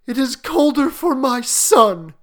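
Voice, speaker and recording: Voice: high voice, big pitch swings, slow pace, pitched above the performer's own voice, heavily acted. A storyteller voicing a grieving old woman who is frantic and insistent, crying out with breathless urgency in a storybook reading. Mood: sorrowful.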